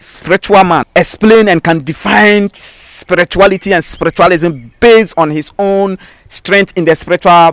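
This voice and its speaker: Higher-voiced Ghanaian man explaining. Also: no room sound. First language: Twi. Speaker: babalawo spiritual practitioner, interviewee. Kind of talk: explanatory interview talk.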